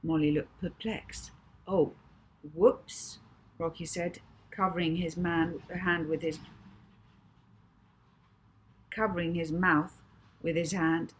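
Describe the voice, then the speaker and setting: A woman's voice: fairly low pitch, little pitch movement, unhurried, slight background noise, poor audio. A woman reading a children's novel aloud as its narrator, in scripted audiobook storytelling. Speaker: author reading her own novel.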